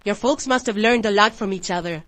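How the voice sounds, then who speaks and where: A woman playing a gentle, wistful mother who is new in town, in a radio drama, speaking politely and tentatively. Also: medium pitch, fairly quick, lightly acted. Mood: tender.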